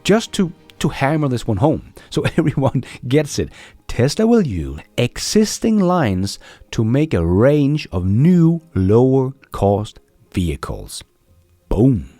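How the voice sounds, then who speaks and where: A man's voice: medium pitch, very animated, slow pace, dark tone, no echo, soft background music. A man hosting a news commentary show for viewers, enthusiastic and emphatic in his delivery. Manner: enthusiastic, emphatic, chuckling between points. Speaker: host of a Tesla news channel.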